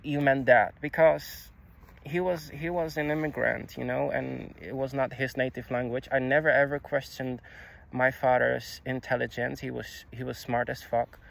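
A young man whose first language is Czech, speaking outdoors into a phone in a halting, confessional way, restarting words and swearing casually. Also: medium pitch, vocal fry, fairly bright tone, poor audio. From Czech Republic. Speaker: vlogger. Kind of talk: personal confessional monologue. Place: outdoors on a grassy hilltop. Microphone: phone camera held low.

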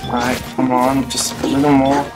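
A man's voice: medium pitch, level tone, normal speed. A young man giving casual live commentary as he streams a video game. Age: young adult. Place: small room indoors at home. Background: loud game music.

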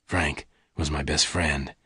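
Guy doing a grave soft-spoken western gunman for an audiobook, really deep, gentle but gruff, dry close sound. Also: steady tone, no echo, heavily acted. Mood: solemn. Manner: soft, deliberate, solemn delivery. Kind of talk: dramatised audiobook dialogue.

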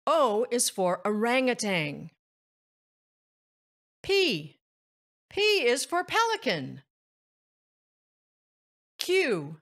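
A woman narrating a picture book for very young children, addressing them directly and pronouncing things deliberately. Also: fairly high pitch, fairly bright tone. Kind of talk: children's read-aloud, addressing young listeners.

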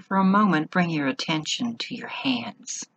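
A woman leading a guided meditation in short, measured phrases with long pauses between them. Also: lowish voice, very animated, gravelly, a bit bright, husky, highs cut off.

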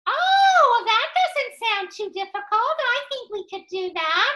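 A childlike flamingo puppet chatters in a very high, squeaky voice. The sound is bright and sharp, with eager stammers and gushing excitement, made for a children's puppet show. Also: female voice, a little slow, full character voice. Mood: delighted. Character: excitable, silly young female flamingo puppet, childlike and forgetful.

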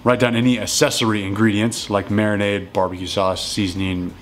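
A man in his thirties casually walking through home meals in his kitchen, relaxed and matter-of-fact. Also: fairly low pitch, brisk, slight background noise. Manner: relaxed and matter-of-fact, plain step-by-step talk. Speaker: strength coach cooking at home. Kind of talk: casual cooking walkthrough.

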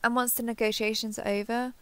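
A woman playing an earnest, affectionate starship captain in an intimate sci-fi audio roleplay, speaking haltingly and confessionally. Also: on the high side, flat delivery, clean tone, no echo, natural voice. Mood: hopeful, tender. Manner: hesitant, halting, apologetic, confessional.

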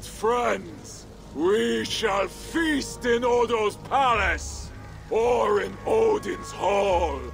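An actor playing a menacing, vengeful Viking warlord in a video game, with gravelly, theatrical oratory. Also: male voice, very high voice, heavily acted. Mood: rousing, fierce. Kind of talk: dramatic video game cutscene acting.

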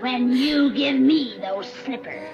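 A woman playing a cruel old witch in 1930s film style, menacing and mocking, with drawn-out words. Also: high-pitched, music in the background. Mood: gloating. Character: cruel, gloating old wicked witch, female. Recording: dull, band-limited old film soundtrack.

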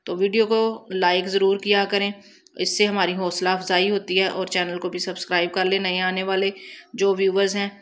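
A woman's voice: medium pitch, flat delivery, normal speed. A woman with a Pakistani Punjabi accent giving a chatty, casual recipe voice-over as a cooking channel host. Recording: noticeable background noise, home recording.

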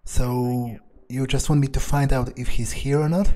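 A man's voice: mid-range voice, steady tone, moderate pace, mellow. A man thinking aloud in character during a video game playthrough, in a hesitant, musing way. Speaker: gamer role-playing in character.

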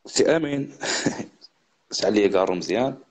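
A man with a Moroccan accent hosting a live stream, chatting quickly with viewers and a guest. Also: on the low side, animated, a little mellow. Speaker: livestream host. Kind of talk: casual live chat and storytelling.

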